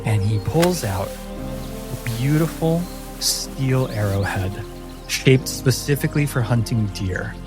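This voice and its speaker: Slow-paced man narrating fantasy over music. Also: medium pitch, lively intonation. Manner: deliberate, descriptive storytelling. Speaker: game master. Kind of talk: scripted fantasy narration for a tabletop game. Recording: music under the voice.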